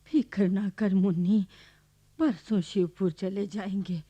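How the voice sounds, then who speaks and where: A woman's voice: medium pitch, fairly even intonation, slow pace, dark tone, husky. A woman playing a simple, weary seventy-year-old village grandmother in a radio play, plain-spoken and homely. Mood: weary, tender.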